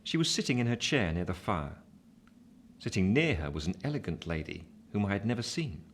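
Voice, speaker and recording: Low-voiced reflective gentleman narrator. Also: very animated, a little hiss or hum. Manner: measured, literary. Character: reflective gentleman narrating his own youth. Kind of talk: scripted first-person narration, radio drama.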